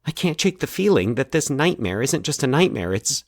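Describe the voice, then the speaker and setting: A man acting a troubled, anxious man in a fiction podcast, confiding his nightmares haltingly and with dramatic pauses. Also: medium pitch, big pitch swings, no room sound, studio quality, voice pushed up. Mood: pleading, anxious. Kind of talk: dramatic audio fiction monologue.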